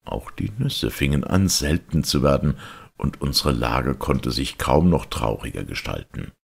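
A man reading a literary audiobook as a narrator, with measured, dramatic storytelling delivery. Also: very deep voice, animated, gravelly, husky. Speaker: audiobook reader.

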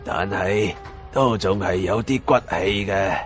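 A man with a Hong Kong Cantonese accent voicing a weary, proud old professor, slow and subdued, in an audiobook. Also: low voice, soft background music, pitched below the performer's own voice. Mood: quietly defiant.

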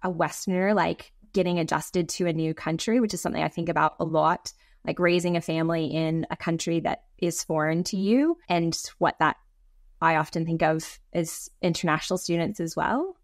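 An American woman in her thirties, a guest talking over a video call from a home office. She tells stories in a chatty, restarting way. Her voice sits a touch low and the sound is dry and close. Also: normal speed, dry recording. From United States. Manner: chatty storytelling, frequent restarts. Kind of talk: conversational interview.

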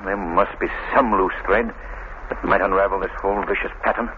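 An actor playing an earnest, brooding crime-fighter in a grave, deliberate old radio drama style. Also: male voice, sits low, fairly even intonation, lightly acted. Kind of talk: 1940s radio drama acting. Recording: noisy, tinny old radio recording.

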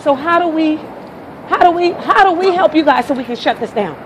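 A woman's voice: high-pitched, expressive, brisk. An American woman, a local resident, arguing and negotiating insistently on the street, often repeating herself and interrupting. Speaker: local resident confronting a protester. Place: outdoors on a city street beside traffic. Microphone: handheld phone camera. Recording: noisy outdoor street, phone recording.

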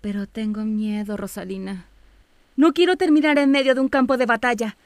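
A woman acts out a young female cartoon fox, an affectionate and anxious little sister. The voice is high and close, with hardly any room sound. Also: normal speed, fairly bright tone, no echo, close to an everyday voice. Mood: worried. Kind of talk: animated series fan dub.

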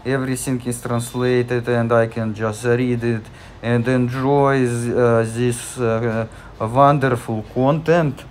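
Slow, hesitant man with a Russian accent. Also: medium pitch, steady tone, a little hiss or hum. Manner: hesitant, many fillers and restarts. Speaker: channel host. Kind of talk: screen-recorded app tutorial.

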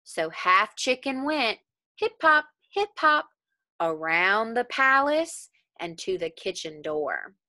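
Bright-voiced young woman reading slowly to children. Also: a little high, sing-song. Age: young adult. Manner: deliberate, simple questions to young listeners. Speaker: elementary school teacher. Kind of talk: read-aloud storytelling with explanations for children.

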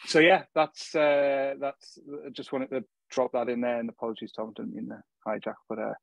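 A man's voice: mid-range voice, little pitch movement, normal speed, vocal fry, no echo, highs cut off. A man speaking as a guest at an online meetup, rambling with many restarts and fillers. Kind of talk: casual online meetup talk.

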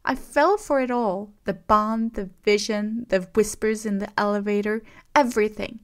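A woman voicing a betrayed young heroine who shouts accusations in a trembling voice. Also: mid-range voice, steady tone, normal speed, close to an everyday voice. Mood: furious, betrayed.

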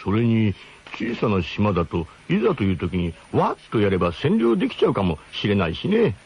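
An actor playing a brash, mock-grandiose expedition leader who narrates a comic radio drama in pompous formal phrasing. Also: male voice, fairly low pitch, sing-song, moderate pace, darkish tone, a little hiss or hum. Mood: mock-serious, lecturing.

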